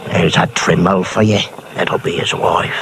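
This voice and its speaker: An actor playing a garrulous, hard-drinking old waterfront sailor who talks in folksy nautical slang, in a 1950s radio drama. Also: male voice, sits low, fairly even intonation, a little slow. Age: older. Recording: hissy old radio broadcast.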